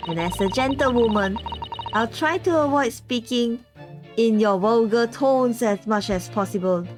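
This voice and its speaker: Woman doing snooty young english lady, prim, slow and lofty, game music behind. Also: fairly high pitch, lightly acted. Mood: haughty. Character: haughty young English gentlewoman witness.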